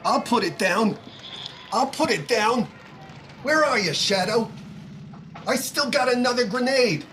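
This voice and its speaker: A man plays a cornered young killer in an old-time radio thriller, his voice pitched extremely high and tense. He speaks slowly and defiantly, with panicked emphasis, over some background noise. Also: lively intonation, a bit bright, full character voice. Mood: desperate, threatening. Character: desperate, panicked young male killer with a grenade. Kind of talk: 1930s radio drama acting.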